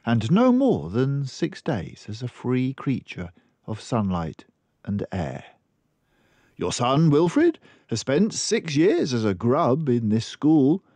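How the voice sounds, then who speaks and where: An older man reading a children's book aloud in a deliberate, expressive storytelling manner. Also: medium pitch, no room sound. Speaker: storybook reader.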